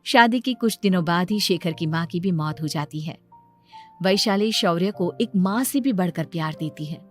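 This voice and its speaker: A woman's voice: on the low side, normal speed. A woman narrating a scripted dramatic story. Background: background music.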